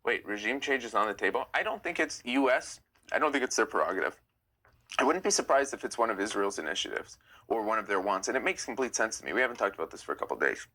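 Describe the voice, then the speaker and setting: A young man hosting a live stream, chatting casually and reading viewer comments, opinionated and quick to get heated. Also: sing-song, pushed and bright. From United States. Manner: chatty, opinionated, gets heated and rises in pitch.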